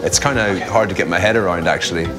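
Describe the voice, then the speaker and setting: Quick-talking joking dad. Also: male voice, sits low, over music. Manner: chatty, joking, self-mocking. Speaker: father cast as a model in a catalogue ad. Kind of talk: casual interview to camera.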